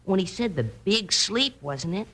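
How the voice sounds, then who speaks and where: A woman's voice: mid-range voice, lively intonation, a little slow, pushed and bright. A woman playing an earnest, plain-spoken little boy in a 1950s Western radio drama. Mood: scared.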